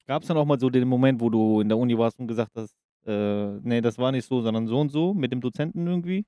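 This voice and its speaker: Quick, mellow young man co-hosting. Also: medium pitch, smooth, dry recording, studio quality. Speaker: podcast co-host. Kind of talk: casual slangy chat.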